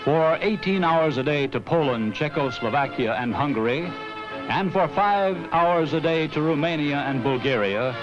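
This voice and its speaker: An American man reading a scripted 1960s promotional film narration in a grave, emphatic, measured announcer's delivery. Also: a little high, expressive. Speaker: documentary narrator. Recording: dull vintage film soundtrack with music.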